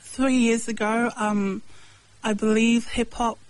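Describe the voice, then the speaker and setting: A woman's voice: fairly high pitch, flat delivery, no room sound, poor audio. Earnest woman with a dry, close sound. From Samoa, raised in New Zealand. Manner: plain, halting, with short answers and pauses. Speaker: guest, hip-hop artist and evangelist. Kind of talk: earnest personal testimony.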